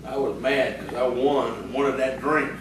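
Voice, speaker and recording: A man's voice: medium pitch, steady tone, unhurried, natural voice. A man plays a cocky, boastful young village rogue in a radio play, full of teasing. He is heard far from the mic in a very echoey room with faint noise. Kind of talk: audio theatre acting.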